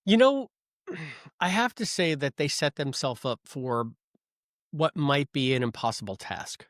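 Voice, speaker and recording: A man's voice: fairly high pitch, lively intonation, unhurried, a bit bright, dry recording, studio quality. A man co-hosting a casual podcast, thinking aloud and wondering about questions. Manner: thoughtful, wondering aloud, reads listener comments. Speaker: podcast co-host.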